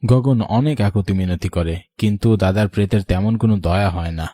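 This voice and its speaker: A man narrates a scripted ghost story as its storyteller. His tone is mellow and the recording is close and dry. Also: fairly low pitch, normal speed, no echo.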